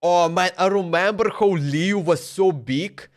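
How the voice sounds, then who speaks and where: A man's voice: high-pitched, a little slow. A man streaming a game, chatting loosely and laughing, often saying "bro" and "man.". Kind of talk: casual livestream chat while playing.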